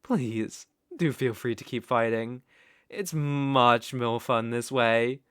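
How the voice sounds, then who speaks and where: A man's voice: mid-range voice, takes it slowly, fairly bright tone, smooth, no echo, clean studio sound. A British man playing a cruel, doting sorcerer in an ASMR roleplay, cooing baby talk with smug menace. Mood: teasing, condescending. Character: gloating, doting, cruel male sorcerer captor.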